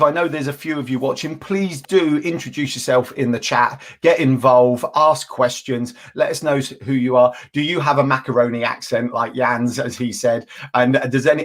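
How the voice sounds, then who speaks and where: Male live-stream host, bubbly and enthusiastic, stumbles over his sentence starts, mid-pitched voice.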